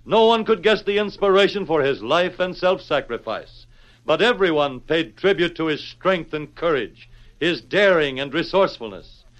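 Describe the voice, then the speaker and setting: A man's voice: a little high, a bit bright, low-quality recording. A man with an American accent narrating a western in grand, declamatory 1940s radio style. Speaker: announcer and narrator.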